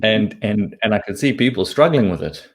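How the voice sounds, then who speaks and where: A man's voice: lowish voice, expressive, normal speed. A man from southern Africa explaining his opinions as an interview guest, talking bluntly and informally with casual swearing. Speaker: interview guest, investment commentator.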